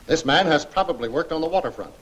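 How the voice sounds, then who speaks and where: An actor playing a brisk American police commissioner with a businesslike, authoritative manner in a 1940s film serial. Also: male voice, a little high, animated, a bit bright. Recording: faintly noisy 1940s film soundtrack.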